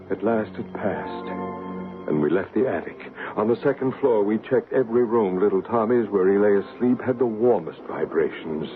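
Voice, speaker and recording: An actor playing a solemn, deliberate male paranormal investigator who narrates and acts in an old radio mystery drama. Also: fairly low pitch. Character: solemn male paranormal investigator and ghost hunter named Bryce. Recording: noisy, phone-like old radio broadcast recording.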